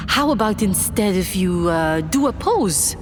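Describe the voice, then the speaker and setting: A woman playing a theatrical, flattering scientist in an audio drama, persuasive and given to grand, poetic flourishes. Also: mid-range voice, unhurried, close to an everyday voice. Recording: long reverb, music behind. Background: music.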